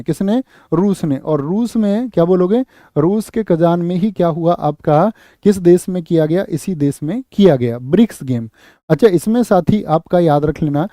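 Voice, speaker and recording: A man's voice: high-pitched, dark tone, no room sound, clean studio sound. A man teaching current affairs for a competitive exam, asking questions and answering them himself, repeating each key fact and calling listeners "saathi". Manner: calls listeners "saathi" and "bhaiya". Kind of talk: quiz-style current affairs lesson.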